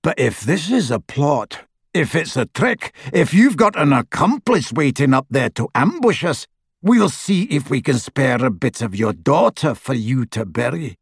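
A man voicing a brutish, sneering dark-wizard henchman in an audiobook, with a rough voice and a scornful, threatening manner. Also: mid-range voice, big pitch swings, unhurried, no echo, studio quality, heavily acted. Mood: menacing threat. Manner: scornful, taunting, roaring. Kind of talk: audiobook character voice.

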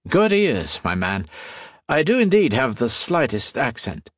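A man's voice: medium pitch, very animated, measured pace, no room sound, studio quality, voice pushed up. A man voicing a scheming male assassin who poses as a genial, folksy American in an audiobook story. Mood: hearty. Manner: falsely hearty, folksy.

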